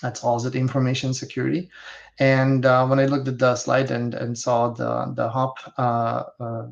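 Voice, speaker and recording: Man, gravelly voice, halting with fillers, muffled lo-fi call audio. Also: mid-range voice, monotone. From Hungary. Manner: halting, many fillers and restarts. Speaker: instructor and guest. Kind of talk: casual webinar talk. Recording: dull lo-fi video call audio.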